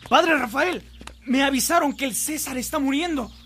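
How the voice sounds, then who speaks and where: A man's voice: very high-pitched, normal speed, fairly bright tone, a little hiss or hum. An actor, a man, playing a messenger who brings church news to a priest in a radio drama. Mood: urgent.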